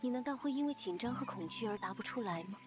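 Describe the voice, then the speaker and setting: A woman voicing a composed, confident female character in a visual novel, speaking calmly and in measured phrases. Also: on the high side, lightly acted. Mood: calm, assured. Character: composed, confident female being trapped in darkness. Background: game music.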